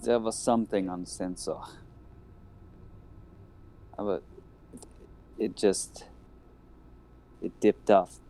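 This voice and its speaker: Man, player, very low pitch, gravelly, lively intonation, dark tone, faint noise. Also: slow pace, pauses often. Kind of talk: casual chat.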